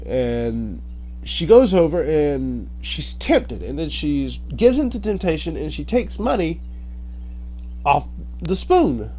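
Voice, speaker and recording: Guy telling a story off the cuff, really lively pitch swings, dramatic, does the dialogue. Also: slow, a little hiss or hum. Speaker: storyteller, host. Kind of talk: informal spoken storytelling.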